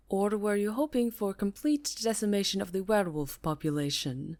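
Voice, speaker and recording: Woman doing a proud stern witch leader, slow and formal, guarded but polite, asmr fantasy roleplay. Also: medium pitch, a little mellow. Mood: grudging, formal. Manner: measured, courteous, formal.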